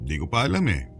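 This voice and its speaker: A man's voice: low voice, creaky, full character voice. An actor playing an awkward, casually friendly Filipino man visiting a child's home, hesitant in an audio drama. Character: awkward adult male visitor, mother's lover, casually friendly. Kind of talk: acted audio drama dialogue.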